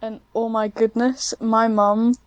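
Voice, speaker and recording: A woman talks casually. Her voice is clean and smooth, recorded very dry. She wanders off track and pokes fun at herself. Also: fairly high pitch, little pitch movement, slow pace, no room sound, dull audio. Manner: rambling, self-deprecating, easily sidetracked. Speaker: podcast guest, ASMR script writer. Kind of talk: casual chat.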